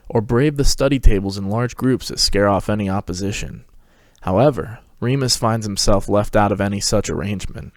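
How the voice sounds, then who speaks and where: A man's voice: lowish voice, gravelly, a little mellow, dry recording, studio quality. A man narrating a scripted story as a podcast host, reading it dramatically.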